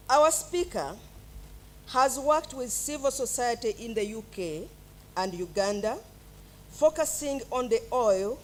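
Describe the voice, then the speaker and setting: A woman reading out a guest speaker's biography at a graduation ceremony. She speaks very slowly and formally, her voice a little high. Faint noise sits under the recording. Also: low-quality recording. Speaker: master of ceremonies. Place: ceremony hall.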